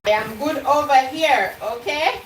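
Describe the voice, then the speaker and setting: A playful woman chats slowly and teasingly while she cooks at home, her voice sitting a little high. Faint kitchen ambience hums behind her, and she sounds picked up by a device set near the stove. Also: slight background noise, rough recording. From Jamaican heritage. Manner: playful, teasing, joking with chat. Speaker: cooking livestream host. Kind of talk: casual live cooking chat, reading out viewer comments.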